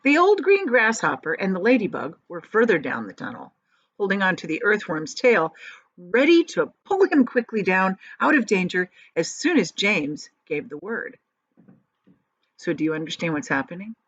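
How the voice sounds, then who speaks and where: A woman teacher reading a children's book aloud and pausing to explain it. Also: medium pitch, sing-song, fairly bright tone, no echo. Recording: dull, lo-fi audio.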